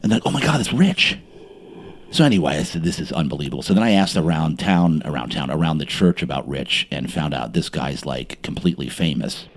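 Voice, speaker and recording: A man from New Jersey hosting a casual interview podcast, excitable and chatty, with lots of ums and repeated words. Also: a bass voice, brisk. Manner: animated, excitable, repeats words, many fillers. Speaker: podcast host. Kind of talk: casual interview chat with sponsor plug.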